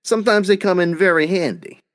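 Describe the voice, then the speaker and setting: An actor playing a cunning, smug gangster boss, tough-talking and mock-polite, in old-time radio drama style. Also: male voice, high voice, a little fast, fairly bright tone, dry recording, clean studio sound. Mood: smug, boastful.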